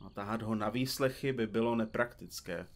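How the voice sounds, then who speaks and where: A man's voice: on the low side, lightly acted. A man playing a talkative, theatrical detective in an audio drama, speaking in a florid, pompous and teasing way. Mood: florid, wry. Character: chatty, theatrical, teasing male detective dictating to his recorder. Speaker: detective in a comedy mystery podcast.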